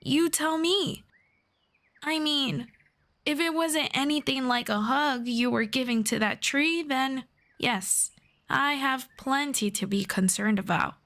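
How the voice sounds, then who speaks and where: A woman playing a gentle, earnest elf friend in a soft-spoken, measured ASMR fantasy roleplay. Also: high-pitched, exaggerated voice. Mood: concerned. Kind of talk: slow scripted ASMR roleplay.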